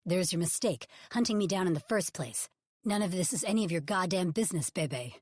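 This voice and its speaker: A woman's voice: lowish voice, animated, a little fast, a little mellow, breathy edge, no room sound, studio quality. A woman doing a growling, furious ex-Hollywood man. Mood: angry. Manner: growling, thunderous, blunt. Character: gruff angry man, former Hollywood star.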